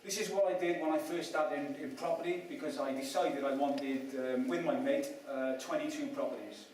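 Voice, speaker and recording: A man's voice: fairly high pitch, steady tone, normal speed, a little mellow, a little hiss or hum. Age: adult. Sex: man. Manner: chatty, self-mocking speaker. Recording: very echoey room, distant mic. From Wales. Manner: chatty, self-mocking, asks the audience questions. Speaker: speaker at a property event. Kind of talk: motivational talk to an audience.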